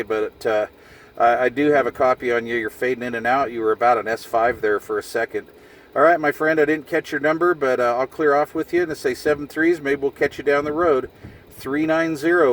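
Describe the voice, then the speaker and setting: A man's voice: mid-range voice, low-quality recording. A middle-aged man chatting casually off the cuff in a laid-back, folksy, slangy way, as a hobbyist vlogger. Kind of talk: casual off-the-cuff outdoor vlog and radio chat. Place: outdoors on a hillside road by his vehicle. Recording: windy outdoor noise with vehicle sounds.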